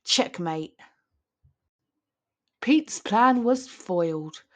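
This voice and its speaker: A woman's voice: medium pitch, animated, extremely slow. A woman reading a children's picture book aloud in a theatrical storytelling manner, stretching key words for emphasis.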